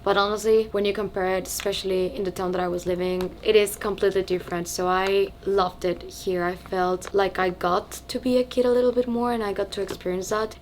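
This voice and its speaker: Woman in her twenties from Mexico, relaxed home chat, repeats words, faint background rumble. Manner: chatty, repeats words for emphasis. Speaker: beauty vlogger. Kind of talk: casual get-ready-with-me chat. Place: home, living in Spain.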